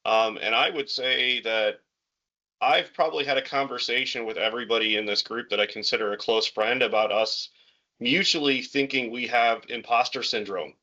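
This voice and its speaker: A man's voice: mid-range voice, moderate pace, sharp tone. A man speaking in a casual video call chat, encouraging and conversational, who often repeats words. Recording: muffled call audio.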